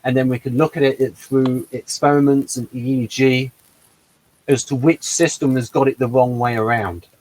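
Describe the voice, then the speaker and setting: A man hosting a casual discussion, making quick, rambling arguments about personality types. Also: medium pitch, fairly even intonation, a little mellow, rough recording. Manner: fast, rambling, argumentative explanations. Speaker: host.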